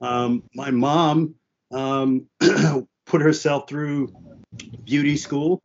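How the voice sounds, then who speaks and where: A man's voice: fairly high pitch, fairly even intonation, very slow, highs cut off. A man whose first languages were French and Haitian Creole, telling his story candidly as a panel guest, with lots of "uh" and "you know". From United States (New York and New Jersey). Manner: earnest, frequent "uh" and "you know" fillers.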